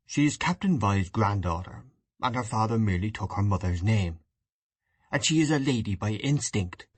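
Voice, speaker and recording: A man's voice: fairly low pitch, lively intonation, normal speed, husky, no echo, pitched below the performer's own voice. A man reading a novel aloud, voicing an earnest, idealistic grown son who argues with his mother. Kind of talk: audiobook dialogue reading.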